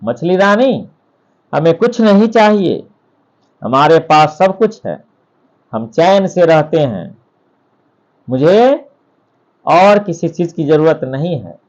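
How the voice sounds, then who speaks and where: A man's voice: fairly high pitch, sing-song, slow, long pauses, a little mellow, highs cut off. A man voicing a humble, contented, kind-hearted fisherman in a storybook, polite and unassuming.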